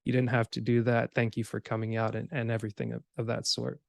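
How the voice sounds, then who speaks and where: A man's voice: medium pitch, monotone, quick pace, gravelly, no room sound, studio quality. A man from Cameroon who grew up in Georgia, hosting a podcast in an informal, rambling way that slips into imagined remarks. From Cameroon, grew up in Georgia, USA. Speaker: podcast host. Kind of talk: casual podcast conversation.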